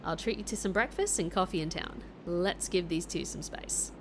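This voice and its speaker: A woman's voice: lowish voice, normal speed, noisy background, natural voice. A woman playing a breezy, friendly Australian secret agent in an audio drama, chummy and slangy, with a playful manner. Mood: cheerful. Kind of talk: audio drama acting with narration.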